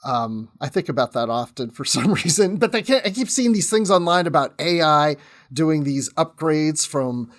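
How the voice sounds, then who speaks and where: A man's voice: a little high, animated, normal speed, a bit bright. A talkative man chatting casually as a podcast guest, often breaking off and restarting his own sentences. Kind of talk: casual chat.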